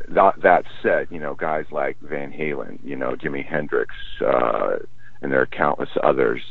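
A man who is a musician, talking in a relaxed, thoughtful way and often restarting his sentences in a casual interview. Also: extremely low pitch, moderate pace, gravelly, husky. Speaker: musician guest. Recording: tinny phone line, dry.

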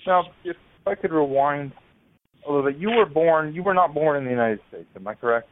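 A man's voice: a little high, expressive, brisk, a little hiss or hum. Hesitant male radio host, tinny sound. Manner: hesitant, lots of ums and uhs. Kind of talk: radio interview.

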